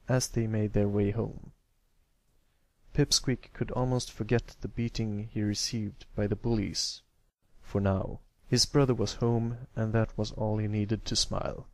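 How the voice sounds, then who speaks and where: A man's voice: on the low side, flat delivery, a little slow, creaky, dry recording. A man reading scripted story narration as an amateur audiobook reader.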